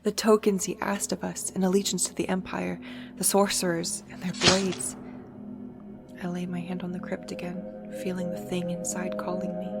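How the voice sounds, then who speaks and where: Hushed undead warrior, little pitch movement. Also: female voice, gravelly. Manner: hushed, solemn, dramatic. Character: anguished undead warrior, haunted, bitter, solemn. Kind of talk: first-person audio drama narration. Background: music.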